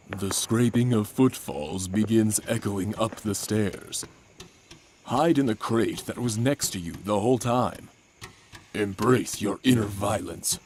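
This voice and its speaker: A man giving a deadpan, mock-solemn dramatic reading of a comic adventure story. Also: on the low side, a little hiss or hum. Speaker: narrator.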